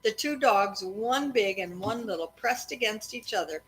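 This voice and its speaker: Female read-aloud storyteller, mid-pitched, conversational with young listeners, some background noise. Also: expressive, moderate pace, a bit bright, rough recording. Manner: breaks off to address and question children.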